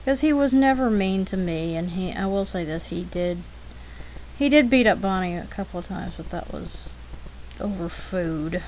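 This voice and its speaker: A woman's voice: fairly low pitch, moderate pace, rough recording. A woman giving casual, rambling commentary over her art demo, chatty and self-deprecating, thinking aloud as she goes. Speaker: artist narrating own drawing demo. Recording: steady background noise.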